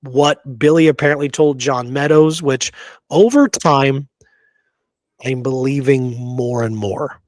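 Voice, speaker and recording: An American man co-hosts a relaxed history talk in a mid-pitched voice. He speaks informally and leans on repeated words for emphasis. The sound is very dry, with no room around it. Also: takes it slowly, no echo. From Pacific Northwest, United States. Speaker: show co-host and history researcher. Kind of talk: casual history discussion.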